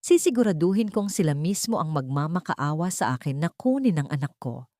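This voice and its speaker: A woman's voice: sits low, very animated, brisk, no room sound, studio quality. A woman playing a steely young heiress bent on revenge in a dramatic audio story, speaking directly and without wavering. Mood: vengeful. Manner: sharp, direct, unwavering.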